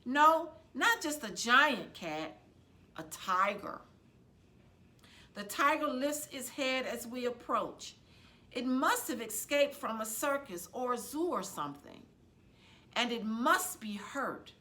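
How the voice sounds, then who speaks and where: A woman reading a children's novel aloud as a librarian would, in an expressive, dramatic storytelling style with deliberate phrasing. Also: fairly high pitch.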